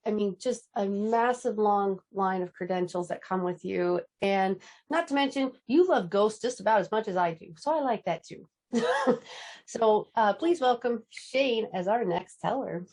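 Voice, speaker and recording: Effusive woman hosting a storytelling event. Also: medium pitch. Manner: warm, effusive, giggly. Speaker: event host. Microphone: video call.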